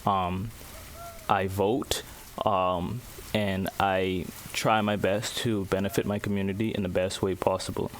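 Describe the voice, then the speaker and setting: A man with a fairly low voice talks straight to his viewers in an earnest, unscripted way. He often pauses to search for the right word. A faint hum of room ambience sits under his voice. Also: flat delivery. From Bahamas. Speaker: vlogger. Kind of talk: casual spoken commentary to camera.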